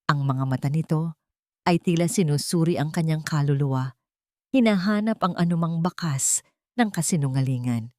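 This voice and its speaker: A woman narrates a dramatic audio story in a low voice. Her delivery is measured and deliberate, like scripted storytelling. The sound is dry, with little room around it. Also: darkish tone, dry recording.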